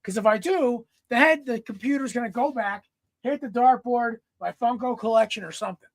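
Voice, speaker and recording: A young man hosting a casual sports podcast from home, a little raspy from smoke, rambling and clearing his throat often. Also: very high voice, fairly quick, dry recording. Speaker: podcast host. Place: room at home. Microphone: computer mic, no headset.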